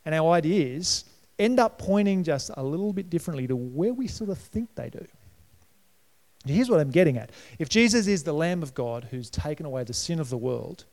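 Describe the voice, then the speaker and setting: A middle-aged Australian man preaching in a chatty, conversational way, with a gravelly edge to his voice. His pitch sits a little high for a man, and he now and then jumps up louder and higher. The church hall around him leaves a long reverb on every phrase. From New South Wales, Australia. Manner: conversational preaching with sudden lifts in pitch and volume. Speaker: pastor. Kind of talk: sermon.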